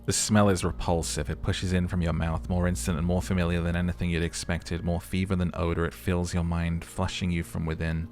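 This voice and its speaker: A man's voice: sits low, level tone, brisk, few pauses, darkish tone, a little hiss or hum. A man playing through a video game, giving commentary and dramatic narration. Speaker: let's player narrating and voice acting a game. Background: game music.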